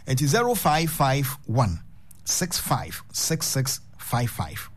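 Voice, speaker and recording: A man's voice: mid-range voice, wildly swooping pitch. Ghanaian man hosting a radio talk show. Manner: unhurried, drawn-out reading of phone numbers. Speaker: radio host.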